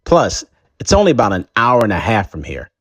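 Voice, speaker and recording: Guy doing a plain encouraging dad, lowish voice, dry recording. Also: sing-song, a little fast, breathy edge, no echo, dull audio. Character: middle-aged father, practical and encouraging.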